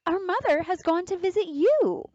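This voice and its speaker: A clever young girl, the eldest sister as a woman storyteller plays her, speaks in a very high, swooping voice. She is wary and questioning, with polite craftiness suited to a storybook heroine. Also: expressive, normal speed, mellow, dry recording, muffled recording.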